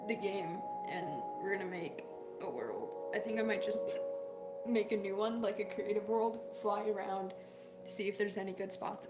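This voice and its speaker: Hoarse woman streamer, echoey room, loud music. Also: medium pitch. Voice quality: hoarse, breaking up at times. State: hoarse, sore strained voice from singing. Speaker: livestream host, singer. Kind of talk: casual livestream chat with viewers. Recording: roomy echo, loud music behind. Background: loud background music.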